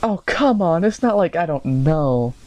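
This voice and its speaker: Man putting on a high-pitched girly lady rabbit cop. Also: very animated, a little slow, darkish tone. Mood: coy, teasing. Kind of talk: hammy fan fiction read-aloud.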